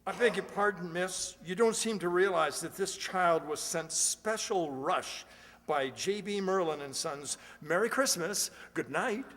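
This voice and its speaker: A man's voice: sits high, a little slow, a bit bright. A man acting a stiffly polite, pompous servant in a radio comedy play, formal, fussy and easily flustered. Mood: prim, polite. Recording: noisy echoey hall.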